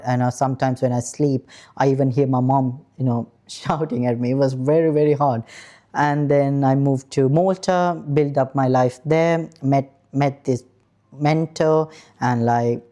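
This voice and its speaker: A man's voice: mid-range voice, steady tone, a little slow, dark tone. A man in his thirties from Sri Lanka, an aeronautical engineer frankly telling his own story straight to the viewer. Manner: earnest, frank, addresses viewer directly. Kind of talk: personal story told to camera.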